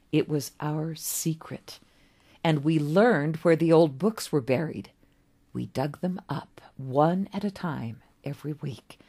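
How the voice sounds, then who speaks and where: A woman playing a slow, gentle, creaky old man. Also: a bass voice, heavily acted. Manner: musing, kindly, slow, with rhetorical asides. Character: gentle, wistful, very old man, self-styled professor.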